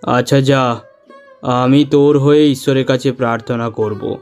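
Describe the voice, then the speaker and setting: A man voicing a calm old wandering holy man in a Bengali ghost story, with a solemn, weighty, deliberate delivery. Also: mid-range voice, soft background music, lightly acted. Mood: gentle, humble.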